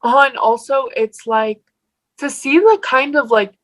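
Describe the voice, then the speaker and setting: A woman's voice: high voice, lively intonation, unhurried, fairly bright tone. A teenage girl with a Mexican accent, a guest on a video call, talking in a chatty, upset way. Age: teens. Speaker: guest, fan page owner.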